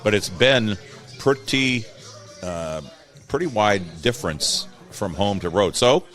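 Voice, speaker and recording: A man talking casually about sports as an interview guest, with a measured, halting delivery. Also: fairly low pitch, animated, a bit bright, faint music behind. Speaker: guest, PA announcer and post-game radio host.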